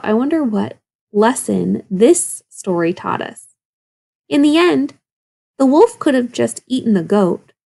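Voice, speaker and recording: A woman's voice: a little high, creaky, a little mellow. A woman speaking as a primary teacher, reading a children's story expressively and putting questions to young pupils. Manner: instructive, questioning asides to children. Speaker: second-grade teacher.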